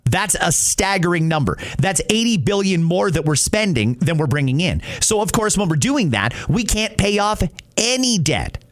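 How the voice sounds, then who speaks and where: Male talk host from Canada, opinionated and emphatic, lively swinging delivery, slightly high voice. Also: moderate pace. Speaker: radio talk show host. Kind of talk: reading and answering listener messages.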